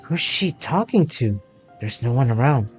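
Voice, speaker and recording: A man streaming a story game, reading dialogue aloud and adding halting, muttered asides. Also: mid-range voice, sing-song. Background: game music.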